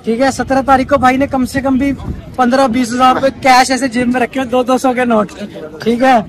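Very high-pitched young man vlogging. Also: fairly quick. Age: young adult. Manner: animated, chatty, projecting over a crowd. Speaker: vlogger interviewing cricket fans. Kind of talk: casual street vlog commentary and vox-pop interviews. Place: outdoors by a road outside a cricket stadium. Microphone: clip-on wireless mic, second one handheld. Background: faint crowd and street sound.

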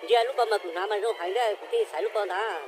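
A man's voice: sits high, quick pace, over music. A man in his thirties vlogging while shopping in a clothing store, chatting casually with many fillers.

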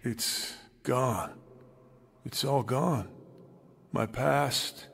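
A man playing a wry, wistful, world-weary survivor in a video game, musing conversationally in a husky voice. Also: mid-range voice, very slow, a bit bright, a little hiss or hum, heavily acted. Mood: bitter, sad. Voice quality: husky, rough-edged. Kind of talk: video game cutscene acting.

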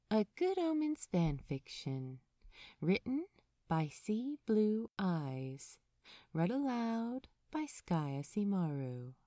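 A woman narrating scripted fan fiction as an audiobook reader, in a measured, expressive way with deliberate pauses. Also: on the low side, dry recording, clean studio sound.

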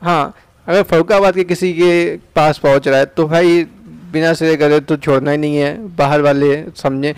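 Chatty young Indian man, smooth voice. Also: on the high side, steady tone, moderate pace, no echo. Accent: Uttar Pradesh Hindi. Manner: chatty, tag questions like "है ना". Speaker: vlogger. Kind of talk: casual motovlog narration. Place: riding through town streets outdoors.

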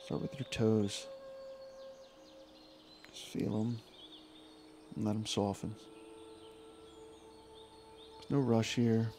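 A man leading a guided meditation in an informal, soothing way, with unhurried phrases and long pauses. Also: fairly low pitch, steady tone, dark tone, a little hiss or hum. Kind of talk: guided meditation, yoga nidra body scan.

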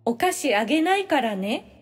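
A woman voicing a whiny, excitable ten-year-old boy who pleads childishly in a family audio drama. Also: sits high, moderate pace, big-room sound. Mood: indignant.

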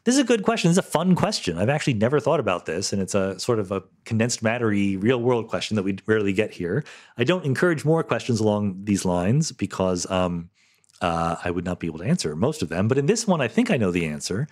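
Low-voiced man with a lively pitch. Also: fast. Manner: thoughtful, self-correcting, lightly laughing. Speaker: podcast host answering listener questions. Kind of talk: casual solo Q&A explanation.

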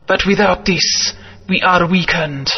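An actor playing an ancient godlike spirit, speaking in slow solemn proclamations. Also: male voice, sits high, husky, heavily acted. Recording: dull game audio with long reverb.

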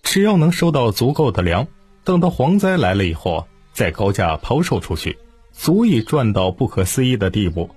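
嗓音沙哑的男性有声书旁白. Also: male voice, mid-range voice, big pitch swings, moderate pace, darkish tone, breathy edge, faint music behind. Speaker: audiobook narrator. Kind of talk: dramatic scripted storytelling narration.

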